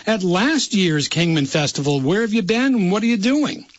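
High-voiced man hosting a radio chat. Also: animated, moderate pace, muffled recording. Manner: rambling, stumbles into repeated words. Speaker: radio host. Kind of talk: live radio interview.

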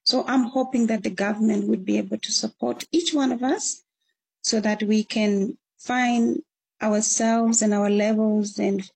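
A woman's voice: fairly high pitch, measured pace, vocal fry, a little mellow, breathy edge, poor audio. A woman from northern Uganda with a Ugandan accent, answering interview questions in an earnest, encouraging way. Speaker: returnee guest.

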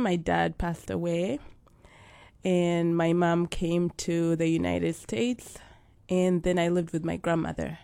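A young adult woman from Uganda, telling her life story as an interview guest, slow and thoughtful with frequent fillers. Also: fairly low pitch, creaky, a little mellow, clean tone, no room sound. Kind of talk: interview about life and faith.

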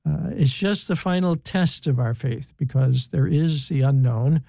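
An older man, a priest as a guest on a talk show, explaining church teaching in a halting, gentle way with many pauses. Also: a little high, creaky, no echo.

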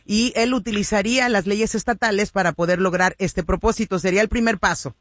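A woman's voice: mid-range voice, steady tone, fast talker, no echo, studio quality. A woman hosting a radio interview, summarizing each answer in Spanish with frequent fillers.

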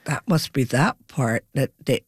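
A woman's voice: very deep voice, measured pace, husky, no echo, studio quality. A middle-aged woman from Montreal with a Jamaican accent, telling stories as an interview guest and acting out what people said. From Montreal, Canada. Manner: lively storyteller, acts out quotes, self-corrects names. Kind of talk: casual storytelling interview.

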